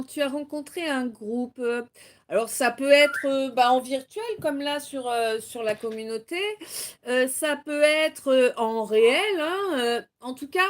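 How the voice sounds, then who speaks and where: A French woman from Normandy hosting a live card-reading stream, chatty, laughing often and reading chat messages aloud. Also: sits high. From Normandy, France.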